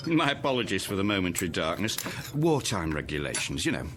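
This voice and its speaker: Male steward, slightly low voice, lively intonation, courteous, formal, deferential, radio drama. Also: brisk. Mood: courteous, attentive. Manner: polite, smooth-mannered, formal.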